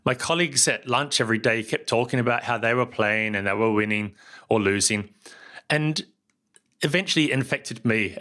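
Bright-voiced New Zealand man narrating. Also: mid-range voice. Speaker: podcast host. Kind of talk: scripted solo podcast narration.

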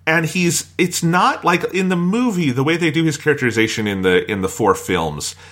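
A man's voice: a little high, lively intonation, normal speed, fairly bright tone. A man talking casually as a podcast co-host, giving opinionated and blunt reviews.

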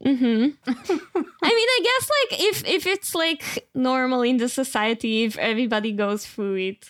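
A woman's voice: on the high side, animated, moderate pace, fairly bright tone. A woman co-hosting a casual podcast, playful and teasing, often chiming in with agreement. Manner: playful, teasing, lots of mm-hmms. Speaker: podcast co-host.

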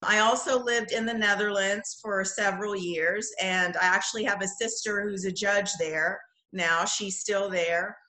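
A woman's voice: mid-range voice, fairly even intonation, measured pace, hardly any pauses, pushed and bright. A woman from the Bahamas telling personal stories conversationally as a podcast guest. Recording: roomy, echoing sound.

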